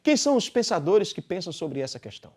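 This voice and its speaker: A man with a Brazilian Portuguese accent teaching a philosophy class, speaking deliberately and posing questions he then answers himself. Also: sits high, big pitch swings, a little mellow. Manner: deliberate, poses rhetorical questions and answers them. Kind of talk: recorded class lecture.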